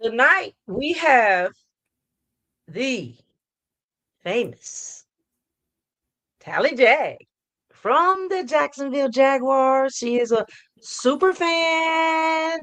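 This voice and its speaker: A hoarse woman hosting a live sports fan talk show, upbeat and rambling, repeating her hype lines. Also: sits high, animated, very slow, pauses often, fairly bright tone, dry recording. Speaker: host.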